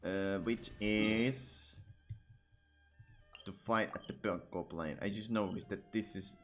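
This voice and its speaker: A man's voice: fairly low pitch, steady tone, slow pace. A man streaming a game with casual commentary, full of blunt, profane, muttered asides.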